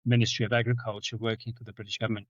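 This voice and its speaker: A middle-aged man with a Zimbabwean-South African accent, telling stories as a podcast guest, often repeating words and jumping up in pitch for anecdotes. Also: flat delivery, racing, a bit bright, no room sound. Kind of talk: storytelling in conversation. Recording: dull, dry lo-fi sound.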